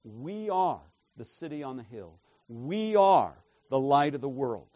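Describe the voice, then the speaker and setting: A man preaching an expository sermon, emphatic and tagging his points with "right?", his loudness swinging from soft asides to forceful declarations. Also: mid-range voice, sing-song, measured pace, dry recording, highs cut off. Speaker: pastor.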